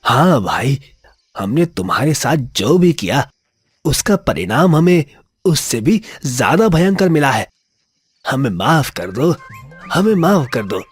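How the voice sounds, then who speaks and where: A man voicing a humble, anxious village man in a cartoon moral story, pleading deferentially in a husky voice. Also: mid-range voice, very animated, slow, no room sound, faint music behind, exaggerated voice. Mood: remorseful.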